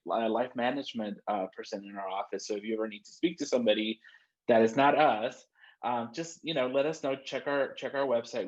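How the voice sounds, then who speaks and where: A man hosting a virtual event informally, speaking in a supportive, conversational way. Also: on the low side. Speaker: host, student center staff. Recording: dull, muffled online call audio.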